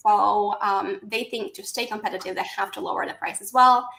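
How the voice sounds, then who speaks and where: A woman's voice: medium pitch, fairly even intonation, normal speed, gravelly, sharp tone, echoey room. A cheerful woman from Latvia with a Latvian accent with an American touch, a guest speaking as a LinkedIn coach over a video call. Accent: Latvian with American influence. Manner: cheerful, laughs easily. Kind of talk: casual interview chat. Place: home in London.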